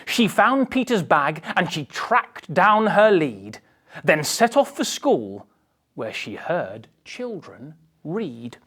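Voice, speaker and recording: A woman reads a picture book to children in a theatrical storytelling style. Her voice sits a little low and swoops widely in pitch, and she delivers the rhyming story slowly, leaning into the drama. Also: very animated, slightly rough. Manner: theatrical, dramatic emphasis with hushed passages. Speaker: storybook reader. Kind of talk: animated children's picture book read-aloud.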